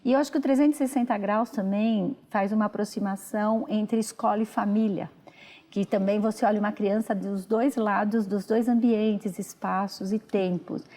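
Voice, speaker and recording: A woman educator on a TV talk show, explaining a teaching concept in a measured way. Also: medium pitch, fairly even intonation, dark tone.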